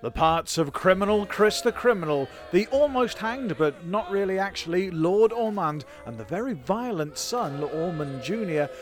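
A man's voice: high-pitched, lively intonation, moderate pace, few pauses, faint music behind. A British man co-hosting a silly history comedy podcast, with playful, mock-grand scripted narration.

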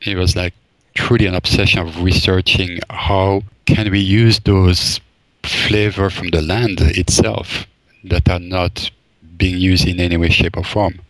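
A man's voice: deep voice, little pitch movement, unhurried, fairly bright tone, no echo, rough recording. A man from Belgium, a forager and chef, answering interview questions thoughtfully, with many fillers and restarts. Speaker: forager and wild food chef, guest.

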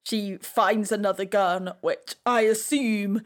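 A woman's voice: medium pitch, fairly even intonation, takes it slowly. A woman hosting a podcast, talking casually through a discussion. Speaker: podcast host.

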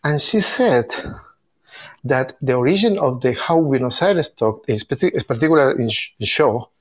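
Enthusiastic Argentinian man, halting English. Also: medium pitch, animated. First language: Spanish. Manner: enthusiastic, halting non-native phrasing with restarts. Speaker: tango event organizer and host. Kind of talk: casual group video chat.

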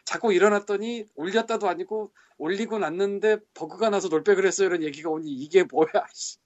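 Man, exasperated, grumbling, casual podcast chat, higher voice, lo-fi audio. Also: fairly quick. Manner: exasperated, grumbling, swears under breath. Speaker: podcast co-host, game translator.

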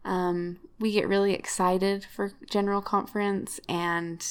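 A woman's voice: mid-range voice, flat delivery, unhurried, smooth. Chatty upbeat woman co-host. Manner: chatty, upbeat, laughs easily. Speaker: podcast co-host. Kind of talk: casual chat.

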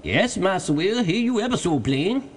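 A man's voice: sits high, big pitch swings, moderate pace, a little hiss or hum, voice pushed up. A man voicing an eager, fearful male servant in an audiobook story, calling out in broken rustic dialect. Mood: plaintive. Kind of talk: dramatized audiobook character.

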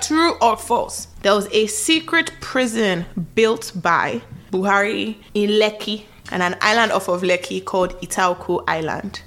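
Animated, teasing Nigerian woman hosting trivia. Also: mid-range voice. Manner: animated, teasing, dramatic emphasis. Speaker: trivia quiz host. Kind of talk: casual storytelling and quiz banter.

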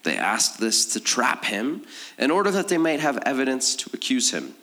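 A man's voice: big pitch swings, normal speed, pushed and bright. A man preaching to a congregation as a pastor, in a conversational, informal style with a teasing, self-deprecating manner. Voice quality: slightly deeper voice than usual. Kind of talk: conversational sermon.

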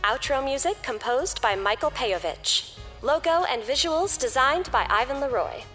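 A woman's voice: sits high, lively intonation, normal speed, sharp tone. A woman reading scripted credits as the outro narrator of a podcast. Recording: long reverb over music.

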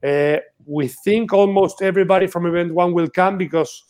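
A man's voice: high-pitched, steady tone, moderate pace, a little mellow, a little hiss or hum. Hesitant man speaking English. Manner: hesitant, slightly broken English with fillers. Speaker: guest.

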